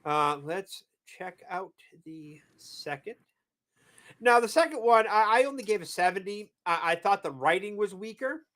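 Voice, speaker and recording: A man from New England co-hosting a casual movie review chat, rambling and halting with fillers and restarts. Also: high-pitched, animated, fairly bright tone. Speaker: podcast co-host.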